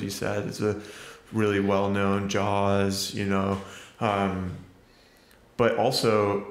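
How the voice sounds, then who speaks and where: A man's voice: fairly low pitch, echoey room. A man co-hosting a casual movie review podcast, rambling with lots of fillers. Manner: rambling, many fillers like "like" and "you know". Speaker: podcast co-host.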